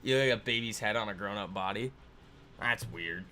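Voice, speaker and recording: A man's voice: lowish voice, a little fast, pushed and bright, a little hiss or hum. A man in his twenties hosting a casual trading livestream, rambling and laughing as he reads viewer comments aloud. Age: 20s.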